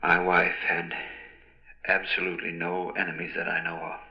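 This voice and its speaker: An actor playing a subdued, grieving widower giving halting, somber testimony in a 1940s radio drama. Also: male voice, low voice, completely flat delivery. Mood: subdued grief. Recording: dull old radio recording.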